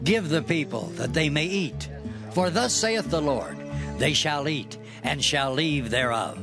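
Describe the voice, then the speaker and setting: A man playing a solemn, commanding prophet in a dramatized scripture reading, with measured, declamatory delivery. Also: on the high side, lively intonation, creaky. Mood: solemn, assured. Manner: measured, declamatory, archaic phrasing. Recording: music behind the voice.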